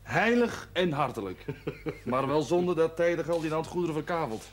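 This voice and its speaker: A man's voice: fairly high pitch, expressive, normal speed, lightly acted. An actor playing a blunt, cynical man with a sensitive streak, speaking tersely in a television drama. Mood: dry, defiant. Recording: noisy 1970s television soundtrack.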